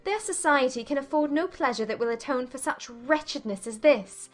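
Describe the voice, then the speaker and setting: A woman plays a proud young lady in anguish, voiced high. She exclaims her vexed, lovelorn thoughts dramatically, as in a period novel audiobook, with music underneath. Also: lively intonation, normal speed. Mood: wretched, ashamed. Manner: dramatic, exclaiming inner monologue.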